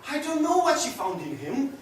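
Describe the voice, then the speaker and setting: A man acting a passionate, boastful, unrepentant young womanizer in a theatrical stage monologue, swinging between hushed murmurs and loud declarations. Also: extremely high pitch, very animated, moderate pace. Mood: bitter, agitated. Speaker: actor. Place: echoey indoor room, recorded from a distance. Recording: echoey room, distant mic, faint noise.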